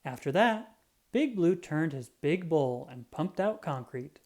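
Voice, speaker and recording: A woman reading a children's book aloud in an animated, expressive storytelling style. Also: low voice. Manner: expressive, drawn-out emphasis on sound words.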